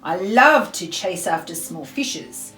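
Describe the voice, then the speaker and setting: Storybook swordfish, hungry, swaggering hunter, lively pitch, played by a woman reader, roomy echo. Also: takes it slowly, exaggerated voice. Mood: boastful. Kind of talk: picture book read-aloud in verse. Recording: roomy echo, faint music behind.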